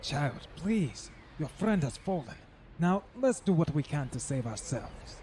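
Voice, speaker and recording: Casting: man as suave older villain for audio drama, formal manners, high lively voice, courteous threat. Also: unhurried, slight background noise. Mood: smooth, coaxing. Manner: formal, elaborately polite. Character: suave menacing older man with a cat companion.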